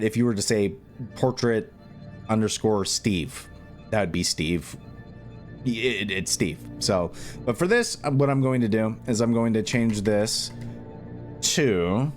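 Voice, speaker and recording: A man with a fairly low voice gives an informal software walkthrough. He works through it step by step, often stopping to think out loud and pick up his thread again. Music plays behind his voice. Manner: halting, thinking aloud. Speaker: tutorial presenter. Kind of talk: unscripted step-by-step screen tutorial.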